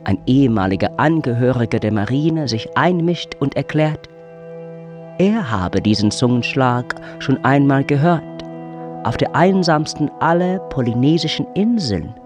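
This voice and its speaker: A man narrating a radio drama in a measured storytelling manner. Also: mid-range voice, animated. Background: music.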